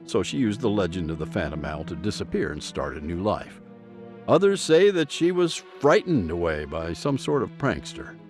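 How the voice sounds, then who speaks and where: A man's voice: on the low side, a little mellow. A man narrating an audio drama in a suspenseful storytelling manner with dramatic emphasis. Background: music soundtrack.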